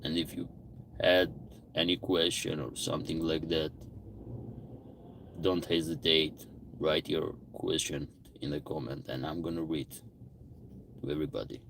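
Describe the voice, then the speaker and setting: A man's voice: rumbling low, flat delivery, unhurried, vocal fry, pauses often, a bit bright, a little hiss or hum. A man hosting a casual livestream, greeting viewers by name and answering their comments aloud. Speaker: livestream host.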